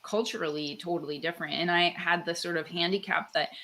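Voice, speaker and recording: A woman's voice: on the low side, steady tone, quick pace, sharp tone. A woman from Canada, a guest author, talking reflectively in a casual interview, with lots of "like" and "um" fillers. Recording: faint background noise.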